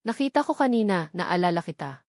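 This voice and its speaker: A woman's voice: fairly low pitch, big pitch swings, fairly quick, no room sound, clean studio sound. A woman with a Filipino accent voicing a tender, affectionate man in love in an audiobook drama. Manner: gentle, affectionate. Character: tender, hopeful man in love, romantic lead.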